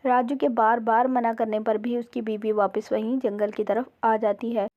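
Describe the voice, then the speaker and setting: A woman's voice: fairly high pitch, flat delivery, normal speed. A woman storyteller reading scripted narration for a cartoon village tale. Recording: slightly dull, lo-fi sound.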